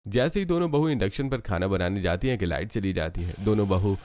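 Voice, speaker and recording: Low-voiced man narrating a story over music. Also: lively intonation, brisk, a little mellow. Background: background music.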